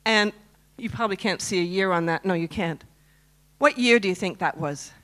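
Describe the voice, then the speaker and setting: A woman in her fifties from Canada speaks on a conference stage. She tells a personal story in a chatty way and keeps asking the crowd questions. Her voice sits at an ordinary pitch for a woman. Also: normal speed, fairly bright tone. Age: 50s. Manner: chatty, asks the audience questions. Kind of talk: personal story talk to a live audience.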